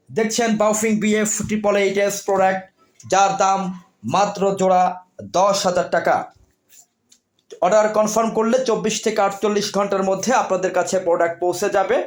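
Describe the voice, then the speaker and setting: High-pitched Bangladeshi man pitching products. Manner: repetitive, deliberate announcing in short phrases. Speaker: product seller and presenter. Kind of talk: sales pitch for electronics.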